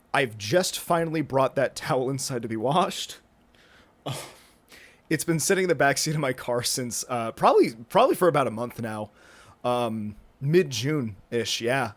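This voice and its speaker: A young man in his mid-twenties talks on his own podcast in loose, casual chat. He rambles, trips over his words and restarts sentences often, dropping in "dude" and "bro" along the way. His voice sits at a mid pitch for a man. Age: 20s. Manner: rambling, self-interrupting, many fillers and restarts. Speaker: podcast host. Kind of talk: rambling solo podcast talk. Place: indoors, with a thunderstorm rolling in outside.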